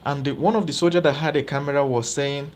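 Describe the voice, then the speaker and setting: A man's voice: fairly high pitch, steady tone, fast, slight background noise. A man giving live political commentary as host, chatty and often checking in with viewers. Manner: conversational, asks viewers rhetorical questions.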